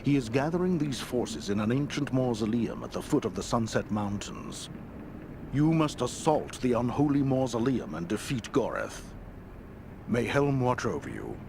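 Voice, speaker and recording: A man playing a solemn, fatherly church superior in a video game, speaking in a formal, measured, grave manner. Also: mid-range voice, big pitch swings. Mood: solemn, benevolent. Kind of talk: video game quest dialogue. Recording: noisy game capture.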